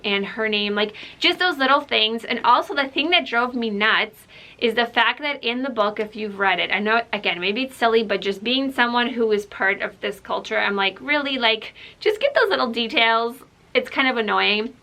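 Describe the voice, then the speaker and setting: Chatty bright-voiced woman with gravelly fry. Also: mid-range voice. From Ukraine. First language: Russian. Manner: chatty and rambling, lots of "like" and "um". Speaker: book vlogger. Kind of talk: casual chat to camera.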